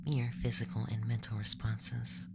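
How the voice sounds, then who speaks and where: A man voicing a cool, analytical alien scientist in a whispered ASMR roleplay, measured and detached with a sly teasing streak. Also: medium pitch, little pitch movement, gravelly, lightly acted. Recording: loud background noise and ambience.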